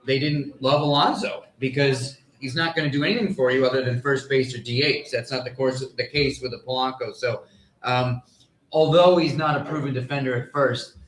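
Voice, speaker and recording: A man speaking as a sports analyst on a live panel, explaining his points in a measured, qualifying way. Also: medium pitch, a bit bright. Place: live convention panel stage. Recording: echoey live room.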